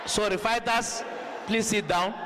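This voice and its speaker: A man's voice: sits high, steady tone, measured pace. A South African man moderating a public debate, insistently repeating short orders to keep the floor in order. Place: large hall at a town hall meeting. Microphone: handheld microphone. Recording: very noisy hall with loud crowd.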